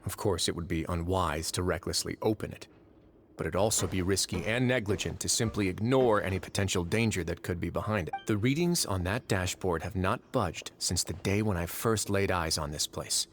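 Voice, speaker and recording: Low-voiced, composed prison warden. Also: male voice, a little hiss or hum. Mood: measured, analytical. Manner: measured, matter-of-fact, explanatory. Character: composed, shrewd male warden of an underwater prison fortress. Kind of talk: video game dialogue acting.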